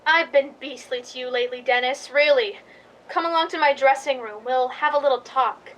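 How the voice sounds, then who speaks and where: A woman's voice: high-pitched, moderate pace, fairly bright tone. A woman playing an imperious, affectedly gracious leading lady in a radio drama, theatrical and condescending.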